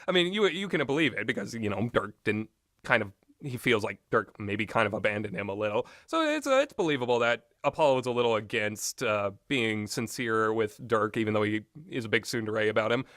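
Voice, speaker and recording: A man's voice: on the low side, expressive, a little fast, a bit bright, no room sound, studio quality. A man giving rambling, informal and opinionated commentary on games, speaking off the cuff with emphatic repetition. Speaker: commentator reviewing visual novel games.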